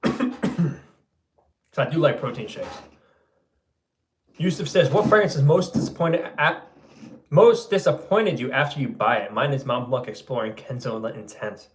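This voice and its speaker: A man's voice: medium pitch, animated, a little slow, pauses often, reverberant. Young man hosting a laid-back livestream. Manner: laid-back, loose, says bro and man a lot. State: voice going hoarse. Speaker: fragrance livestream host. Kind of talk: casual livestream chat reading viewer comments. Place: room at home.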